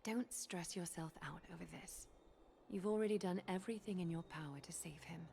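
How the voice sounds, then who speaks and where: A woman playing a dignified, gently commanding female leader in a video game, speaking in a measured, formal, breathy way. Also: fairly low pitch, slight background noise. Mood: gracious, composed. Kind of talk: anime-style game voice acting.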